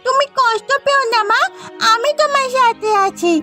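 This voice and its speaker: A woman voicing a sweet, eager young village girl, a comforting daughter in an animated story. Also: very high-pitched, normal speed, soft background music. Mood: caring, curious. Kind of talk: animated moral story voice acting.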